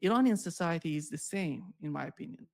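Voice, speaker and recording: Higher-pitched, very creaky man commenting. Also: expressive, normal speed, darkish tone. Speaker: show host. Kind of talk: unscripted political commentary.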